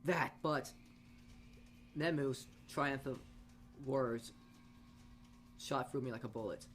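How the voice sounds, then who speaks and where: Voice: medium pitch, slow pace, fairly bright tone, soft background music. A gaming video host reading story text aloud dramatically and dropping in casual, blunt asides.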